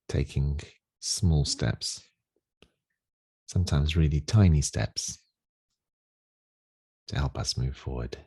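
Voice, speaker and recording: Really deep mellow guy doing a guided meditation, gentle and slow, bit husky, super dry close sound. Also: dry recording. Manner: gentle, unhurried, soothing instruction with long pauses. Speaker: meditation teacher.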